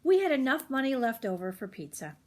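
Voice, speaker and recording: Husky older woman reading a children's storybook. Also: high-pitched, very animated, a little fast. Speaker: children's read-aloud host. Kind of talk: expressive storybook narration with chatty asides to children.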